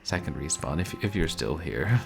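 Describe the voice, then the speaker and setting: Deep gravelly guy streaming a game, chill soft voice, game music going behind. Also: lively intonation, normal speed. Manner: soft-spoken, chuckles often. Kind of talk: casual let's-play chat.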